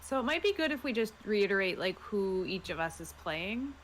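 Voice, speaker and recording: A woman playing a tabletop game, talking casually and tentatively, pausing as she explains. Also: mid-range voice, sing-song, a little hiss or hum. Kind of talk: casual chat.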